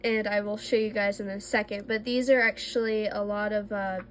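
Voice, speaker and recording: A woman's voice: mid-range voice, flat delivery, moderate pace, slight background noise. A young woman, a little congested from allergies, chatting casually and self-deprecatingly as she shows her old drawings. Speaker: art vlogger. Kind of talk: casual sketchbook tour.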